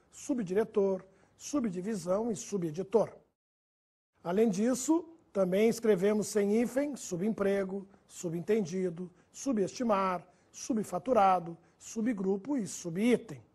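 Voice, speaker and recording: A man's voice: sits high, darkish tone, husky. A man teaching a recorded grammar lesson, listing example words one by one and checking in with his students. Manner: didactic, lists words and checks in with "perfeito?" and "né?".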